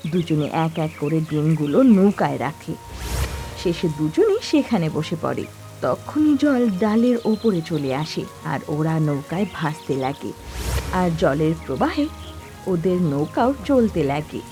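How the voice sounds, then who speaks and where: A woman narrating an animated fable for children as a scripted storyteller. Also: deep voice, a little slow, soft background music.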